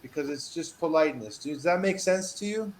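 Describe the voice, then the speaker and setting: A man teaching a one-on-one English lesson over a video call, patient and encouraging, reading model phrases with lively emphasis. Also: fairly high pitch, brisk, a little hiss or hum. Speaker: English tutor.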